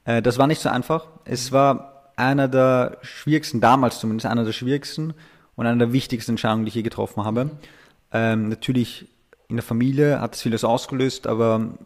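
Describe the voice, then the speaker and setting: Relaxed man, hesitant interview guest. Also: medium pitch, brisk. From Austria. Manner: hesitant, many filler sounds, laughs easily. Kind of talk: casual interview talk.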